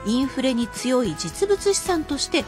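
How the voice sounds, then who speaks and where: A woman presenting a live radio sales pitch with emphatic delivery, slowing to spell out key figures. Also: big pitch swings. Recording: music bed under the voice.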